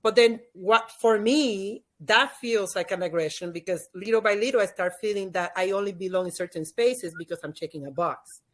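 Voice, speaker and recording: A woman's voice: medium pitch, moderate pace, hardly any pauses. A woman from the Dominican Republic with a Dominican Spanish accent, a native Spanish speaker, explaining her points emphatically in a conversational interview as a guest expert. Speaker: podcast guest, leadership expert and former teacher.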